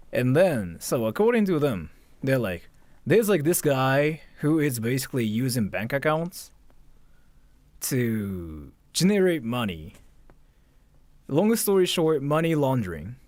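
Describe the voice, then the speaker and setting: Guy streaming, super animated pitch swings, swears a lot, says like constantly, dry close sound. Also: no room sound. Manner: animated, swearing, acts out both sides of conversations; frequent "like" and repeated phrases. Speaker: livestreamer. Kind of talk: casual livestream storytelling to chat.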